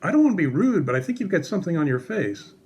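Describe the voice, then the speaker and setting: A man's voice: a little high, sing-song, fast, darkish tone, lo-fi sound. A man presenting a casual Bible lesson for children in a puppet show, explaining things simply and conversationally.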